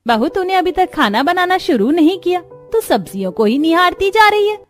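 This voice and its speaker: A woman voicing a bossy, sharp-tongued middle-aged mother-in-law in a cartoon story. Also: very high-pitched, sing-song, normal speed, faint music behind. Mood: brisk, commanding.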